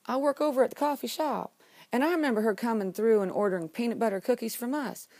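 A woman's voice: a little high, quick pace, a little mellow, no echo, voice pushed up, natural voice. A woman performing a comedic monologue as an exasperated, sarcastic student pleading innocence, with dramatic, emphatic delivery. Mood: scheming, confiding.

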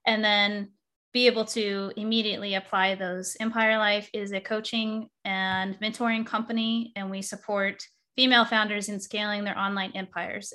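A woman's voice: medium pitch, pushed and bright. A young adult woman hosting a podcast interview, talking eagerly in long, rambling sentences with restarts. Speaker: podcast host.

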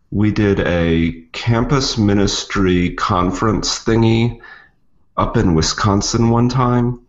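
A man with a very low voice talks in dry, joking chat that rambles with uhs, and he sounds like he is getting over a cold. The audio is dull and lo-fi. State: recovering from a cold. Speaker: podcast co-host. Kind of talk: casual podcast chat.

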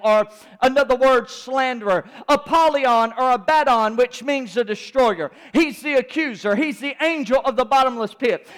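A man's voice: very high-pitched, a bit bright. A man preaching a sermon with an emphatic, repetitive cadence. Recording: long hall reverb.

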